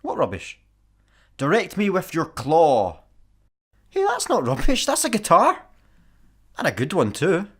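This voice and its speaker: A man's voice: high voice, a little slow, pauses often, slightly rough, full character voice. Man as a daft Scottish slacker, very swooping delivery. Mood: bemused, cheeky. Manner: casual, deadpan, obtuse. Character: daft, easygoing, literal-minded male slacker janitor. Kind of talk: sci-fi comedy radio play.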